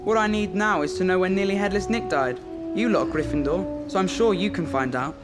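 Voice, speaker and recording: An actor playing an offhand, grudging teenage schoolboy in a video game. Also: male voice, sits high, a little fast, natural voice. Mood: smug, grudging. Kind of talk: video game cutscene acting. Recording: loud music behind the voice.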